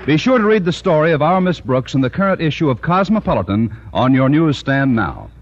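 A man announcing a 1950s radio program in a formal, measured style, on an old broadcast recording. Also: fairly high pitch, sing-song, lo-fi sound. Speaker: show announcer.

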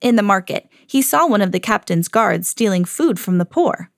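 A mother hosting her own podcast, reading a children's storybook aloud with lively jumps for the quoted lines. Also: medium pitch, moderate pace, vocal fry, dry recording, clean studio sound. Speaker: mom reading to her kids, podcast host.

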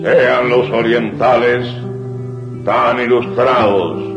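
Guy playing heroic army leader making solemn proclamations, radio play, echoey, loud music under it. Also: medium pitch, fairly even intonation, slow pace, natural voice. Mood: rousing, solemn. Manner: solemn, emphatic, projecting. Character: heroic military leader proclaiming to his people. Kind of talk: declamatory 1980s radio drama oratory. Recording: echoing, dull old radio recording, loud music.

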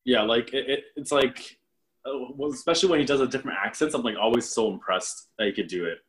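A man's voice: medium pitch, fast talker. A man chatting casually as podcast host, rambling with many fillers and restarts. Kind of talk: casual chat.

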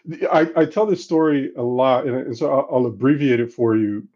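A middle-aged man who grew up in Central Africa, answering interview questions in a halting, reflective way with many restarts. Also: medium pitch, dark tone. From Congo, Central Africa. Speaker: guest. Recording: slightly dull, lo-fi sound.

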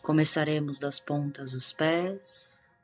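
A Brazilian woman guiding a meditation in a calm, hushed manner with long pauses. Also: low voice. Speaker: meditation guide, integrative therapist. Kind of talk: guided meditation. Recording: music behind the voice. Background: meditation music.

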